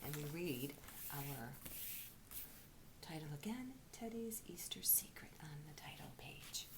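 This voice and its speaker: A woman reading aloud to children like a teacher, expressive, often dropping into breathy, hushed storytelling. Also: sits low, measured pace. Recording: faint background noise.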